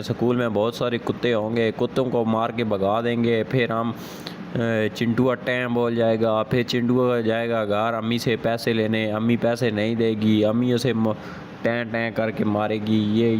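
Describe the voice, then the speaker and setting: A man with a mid-pitched, flat voice improvises comic dialogue. He rambles and repeats himself, with faint noise behind him. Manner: repetitive, rambling.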